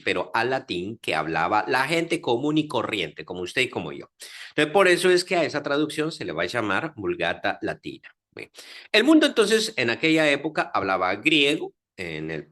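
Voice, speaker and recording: Animated Guatemalan man teaching. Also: mid-range voice. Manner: animated, with many fillers and rhetorical check-ins. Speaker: Bible teacher lecturing. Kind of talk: lecture to a class. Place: Dominican Republic, class audience.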